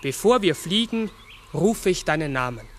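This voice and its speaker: An actor playing a huge talking raven in a 1960s radio play, gentle and mysterious, speaking in a solemn, tender manner. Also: male voice, fairly high pitch, animated, moderate pace, slight background noise. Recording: 1960s mono radio recording with music.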